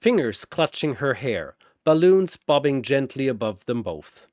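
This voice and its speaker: A man's voice: medium pitch, big pitch swings, measured pace, darkish tone, no room sound, studio quality. A man giving theatrical, scripted narration of a fantasy battle story. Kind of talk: dramatic scripted fan-fiction battle narration.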